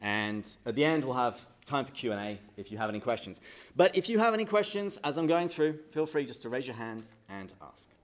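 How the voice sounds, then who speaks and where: A man's voice: mid-range voice, sing-song, fairly quick. A man with an English accent giving a light-hearted church talk to a live audience, quizzing them with jokes before leading a prayer. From England. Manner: jokey and chatty, quizzes the audience, then leads prayer solemnly. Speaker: speaker at a parish talk. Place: hall with an audience.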